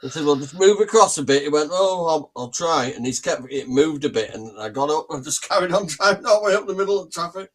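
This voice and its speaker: A man's voice: a little high, lively intonation, quick pace, hardly any pauses. A man with a Northern English accent, chatting casually in a blunt, grumbling way. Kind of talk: casual chat, anecdotes.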